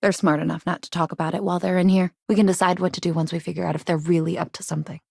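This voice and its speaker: Game role: earnest, plain-spoken woman innkeeper in a rough sci-fi underground. Lowish voice, creaky edges, dry studio sound. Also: flat delivery, very fast, darkish tone, no echo. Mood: calm, earnest. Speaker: video game character voice. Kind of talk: game dialogue lines, conversational.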